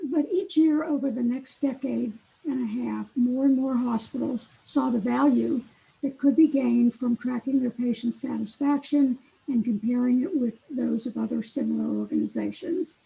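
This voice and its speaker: A soft-spoken woman giving a conference talk on a computer microphone, with frequent fillers. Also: sits high, creaky, rough recording. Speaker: guest speaker. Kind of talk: conference presentation. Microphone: auxiliary computer mic.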